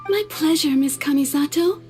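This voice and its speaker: A woman's voice: high voice, normal speed, a little mellow, soft background music, natural voice. A woman playing a polite, obliging kimono shopkeeper in a video game, with a deferential manner. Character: courteous female kimono shopkeeper, soft and obliging.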